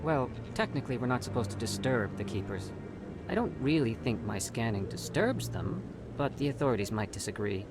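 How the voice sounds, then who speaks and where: A man's voice: medium pitch, sing-song, fairly quick, music in the background, close to an everyday voice. A man playing a nervous, earnest scientist in a video game, with a hesitant, guarded way of talking. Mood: cautious. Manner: hesitant, stammering, evasive.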